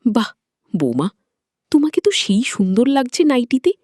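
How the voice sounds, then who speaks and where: A woman's voice: fairly high pitch, very animated, moderate pace, a little mellow, no room sound, studio quality, pitched above the performer's own voice. Woman voicing a doting, coaxing older father-in-law. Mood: coaxing, admiring. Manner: coaxing, admiring, indulgent.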